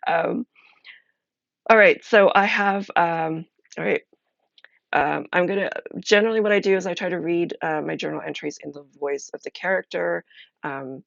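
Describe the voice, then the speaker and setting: Very creaky woman streamer, thinking aloud. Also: fairly low pitch, a bit bright, no room sound, dull audio. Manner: halting, thinking aloud with pauses. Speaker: streamer playing a solo role-playing game. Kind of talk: casual live-stream chat, explaining a game.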